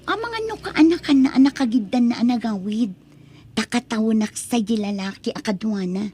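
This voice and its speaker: A woman's voice: fairly high pitch, expressive, normal speed, warm tone, slightly rough, faint music behind. A young woman voicing a spoiled college-student daughter who talks in a whiny, dismissive way. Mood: cheerful. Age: young adult. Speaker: voice actor. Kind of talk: audio drama acting.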